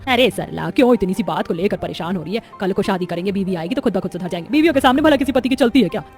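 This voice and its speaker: A woman acts out an older aunt in a cartoon tale. She chats briskly and persuasively, bossy yet reassuring, with faint music underneath. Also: mid-range voice, animated, darkish tone, natural voice. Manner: chatty, persuasive. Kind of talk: cartoon moral-story acting.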